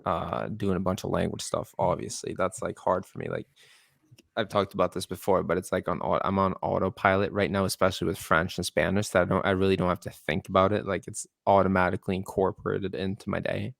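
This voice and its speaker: A man with a low, gravelly voice co-hosts a relaxed podcast, rambling loosely and laughing easily. The sound is dry and close to the mic. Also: brisk, no echo. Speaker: podcast co-host. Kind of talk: casual chat.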